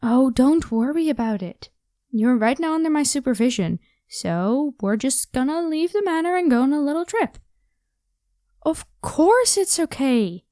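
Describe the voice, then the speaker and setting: Woman acting high-voiced carefree vampire warrior woman. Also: lively intonation, measured pace, mellow, no echo, studio quality. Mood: reassuring, playful. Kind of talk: ASMR roleplay acting. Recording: clear background hiss with night crickets. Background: crickets and insect ambience.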